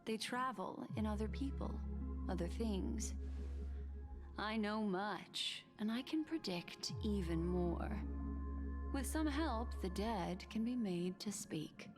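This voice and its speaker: A poised woman of mystery in a video game speaks in a measured, theatrical way. She sounds knowing and ominous, with music clearly playing behind her. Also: mid-range voice, lively intonation. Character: poised, ominous woman who reads lingering memories. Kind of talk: scripted video game acting.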